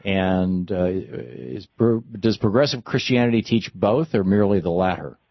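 A man's voice: low voice, lively intonation, moderate pace, no room sound, dull audio. An American man in his sixties hosting a radio call-in show in a conversational talk style. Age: 60s. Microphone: close studio microphone.

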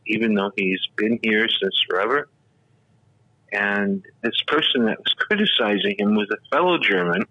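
A man's voice: lowish voice, pushed and bright, breathy edge, dry recording. A man in his sixties, a radio talk show host, telling stories in a rambling, casual way full of fillers and restarts. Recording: dull, lo-fi radio audio.

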